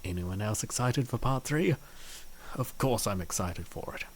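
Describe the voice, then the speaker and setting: Male comic-dub narrator, lowish pitch, animated delivery, some noise behind the voice. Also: moderate pace. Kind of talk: scripted story narration.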